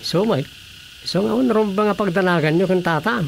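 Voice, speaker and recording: A man's voice: high voice, moderate pace, creaky, slight background noise. A man with an Ilocano Filipino accent, acting a teasing village councilor in a radio comedy drama. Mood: teasing, casual.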